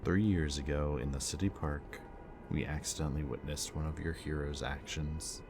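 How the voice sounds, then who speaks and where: Man playing a very deep-voiced, weary villain. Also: steady tone, over music. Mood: grim, haunted. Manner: theatrically polite, slow and deliberate, sighing. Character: brooding male villain kidnapper, mockingly polite, weary and haunted. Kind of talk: ASMR-style audio roleplay acting.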